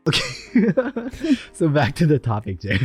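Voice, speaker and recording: A man's voice: a little high, huge pitch swings, takes it slowly, a little mellow, breathy edge, faint music behind. A young man co-hosting a casual podcast, teasing and laughing often. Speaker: podcast co-host.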